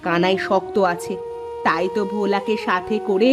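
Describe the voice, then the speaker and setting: A woman's voice: mid-range voice, steady tone, moderate pace, lightly acted. A woman voicing a practical, caring village housewife and elder sister-in-law in an earnest cartoon drama style. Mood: reassuring. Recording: music behind the voice.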